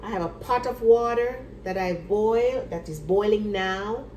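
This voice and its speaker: A woman's voice: medium pitch, unhurried, darkish tone. An older woman, a retired nurse, chatting casually at home as she walks through a simple remedy step by step, often repeating herself. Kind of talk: casual home demonstration video. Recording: echoey room with faint background hiss.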